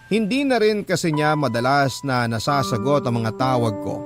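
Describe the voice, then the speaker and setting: A man's voice: medium pitch, expressive, moderate pace, music in the background, lightly acted. An actor playing a hopeful, lovestruck young man narrating his courtship in a Filipino radio drama, confiding and reflective, with a Filipino accent. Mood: wistful, hopeful. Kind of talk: scripted radio narration of a letter.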